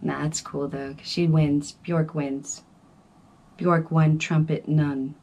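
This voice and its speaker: A woman musician giving casual reaction commentary in a calm, soft-spoken way with playful asides. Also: low voice, slow pace, gravelly, lo-fi sound, a little hiss or hum.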